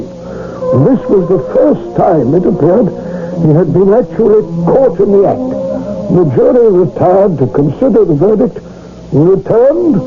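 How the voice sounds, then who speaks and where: An actor playing a stern, middle-aged lawman in a 1970s radio drama, formal and official in manner. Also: sits high. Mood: grave. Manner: formal, measured, dry officialese. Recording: tinny old radio broadcast with music.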